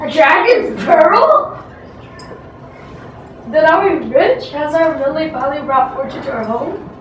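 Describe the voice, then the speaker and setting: A woman's voice: high voice, lively intonation, unhurried, dull audio. A woman playing a hopeful, welcoming village parent in an amateur readers theatre folktale. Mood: astonished, hopeful. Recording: distant mic, echoey room, background noise.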